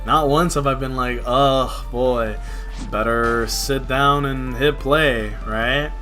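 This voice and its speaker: A man's voice: mid-range voice, steady tone, slow pace, sharp tone. A young man from New City, talking casually and candidly in slangy, conversational livestream chat. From New York City. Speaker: game livestreamer. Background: music.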